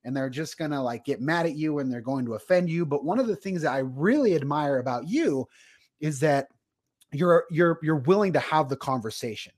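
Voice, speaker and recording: A man's voice: fairly high pitch, animated, a little fast, darkish tone. A man hosting a podcast interview, talking in a casual, chatty way with many "kind of" and "you know" hedges. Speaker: podcast host.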